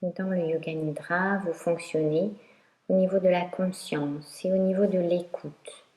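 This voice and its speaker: A woman teaching yoga nidra, guiding a meditation in a calm, instructional way with long pauses. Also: lowish voice. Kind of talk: guided meditation narration. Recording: roomy echo.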